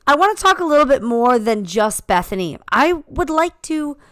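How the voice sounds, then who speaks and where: A slightly hoarse woman co-hosting a podcast, chatting casually and telling stories with many asides into a handheld mic. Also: high-pitched, sing-song, normal speed, a bit bright, no echo, studio quality. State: slightly hoarse, recovering from laryngitis. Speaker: podcast co-host. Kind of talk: casual chat.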